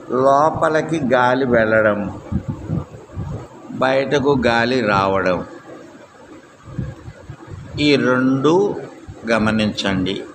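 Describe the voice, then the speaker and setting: A man guiding a meditation as a yoga instructor, calm and deliberate, leaving long pauses, with steady noise in the recording. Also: mid-range voice. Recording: clear steady background noise.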